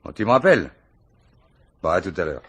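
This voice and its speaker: An actor playing a gruff, impatient police commissioner who is bossy and teasing with his subordinate, in a French radio crime drama. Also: low-pitched, big pitch swings, a little fast, lo-fi sound, a little hiss or hum. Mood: irritated, brusque.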